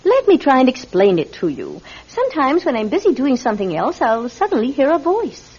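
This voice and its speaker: A gentle, reluctant mother in a radio play. Her voice sits very high and moves with lively, expressive swings. She is tender and weary, and the sound is a little dull. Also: fairly quick, slightly rough, exaggerated voice. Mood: patient, wistful. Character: gentle, weary clairvoyant mother of two young children. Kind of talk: radio drama acting. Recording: muffled, dull old radio drama sound.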